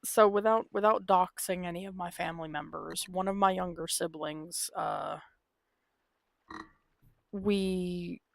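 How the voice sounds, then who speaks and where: A woman's voice: fairly low pitch, steady tone, a little slow, gravelly, dry recording. A woman live-streaming a game, talking casually and candidly. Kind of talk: casual live-stream chat over gameplay. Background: video game music.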